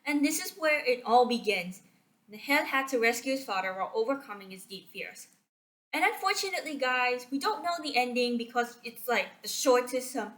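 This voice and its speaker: Young woman from Malaysia, slightly high-pitched, unscripted and halting, recorded on a computer mic. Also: a bit bright. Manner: hesitant, many fillers and restarts, unsure of facts. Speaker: video creator. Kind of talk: casual spoken movie summary. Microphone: computer screen recording mic.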